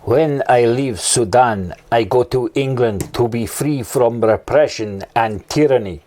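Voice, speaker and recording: A man playing a melodramatic runaway who speaks in loud, slow broken English, in a comedy sketch. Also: medium pitch, a little hiss or hum, voice pushed down, natural voice. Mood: earnest.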